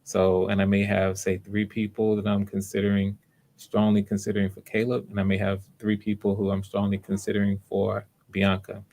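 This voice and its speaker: Low, even-voiced man hosting a livestream. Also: moderate pace. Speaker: host and director of live online auditions. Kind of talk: casual livestream talk to viewers.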